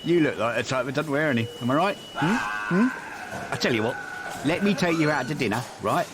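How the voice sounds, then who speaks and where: A man's voice: mid-range voice, lively intonation, brisk, heavy background noise, natural voice. An actor playing a sleazy, crude male orderly who teases and makes lewd jokes. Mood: smug leering. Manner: teasing, lewd, smug.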